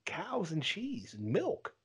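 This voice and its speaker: A man's voice: high-pitched, wildly swooping pitch, takes it slowly, slightly rough. A man co-hosting a casual podcast, rambling with lots of "like" and "dude" as he spins a story. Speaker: podcast co-host.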